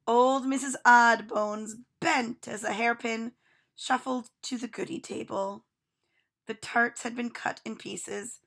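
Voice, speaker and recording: A woman reading a children's storybook aloud with expressive narration. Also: fairly high pitch, takes it slowly, gravelly.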